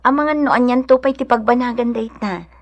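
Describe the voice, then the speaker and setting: A woman's voice: fairly high pitch, fairly quick. A woman playing a kind, generous village wife with an Ilocano accent in a radio drama, speaking with earnest care. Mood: caring, urging. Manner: earnest, caring. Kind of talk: Filipino radio drama acting. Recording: radio drama with music underneath.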